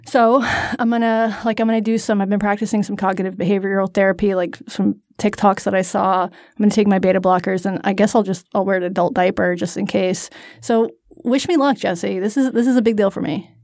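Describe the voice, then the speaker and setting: A woman's voice: medium pitch, fairly even intonation, brisk, a little mellow, dry recording, clean studio sound. A woman in her thirties co-hosting a podcast in casual chat, candid and self-deprecating, rambling through asides. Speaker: podcast co-host.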